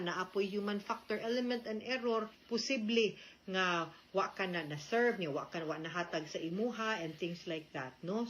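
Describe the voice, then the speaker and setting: A woman's voice: mid-range voice, fairly bright tone. A woman with a Cebuano Filipino accent hosts a legal advice show, explaining things informally and conversationally, with frequent tag questions and some English mixed in.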